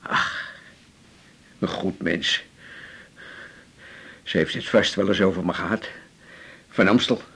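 An actor playing a chatty, ailing older patient in a radio drama, short of breath and halting. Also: male voice, low-pitched, a bit bright, heavily acted. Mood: sociable. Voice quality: short-winded, gravelly. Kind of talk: 1980s radio drama acting.

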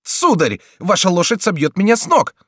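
A man voices a small, easily frightened townsman with a shrill, high, fussy voice. He frets and yelps in alarm. The storybook reading is dry and close. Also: sing-song, moderate pace, a bit bright, no echo, exaggerated voice. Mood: panicky. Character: timid, fussy little middle-aged townsman.

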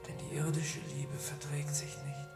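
Otherworldly phantom, solemn, seductive, hushed, very slow, drenched in echo, radio drama. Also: medium pitch, completely flat delivery. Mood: grave, warning. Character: phantom posing as angel of music, solemn, seductive, possessive. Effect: heavy reverb. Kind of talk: German radio drama acting. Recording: cavernous reverb, distant, loud music behind.

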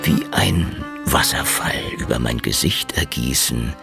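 An actor playing a battered, stubborn Soviet private detective, narrating a noir radio drama in a gravelly, brooding, sardonic voice. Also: male voice, very deep voice, expressive, unhurried, full character voice. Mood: wry, weary. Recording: loud music behind the voice. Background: music.

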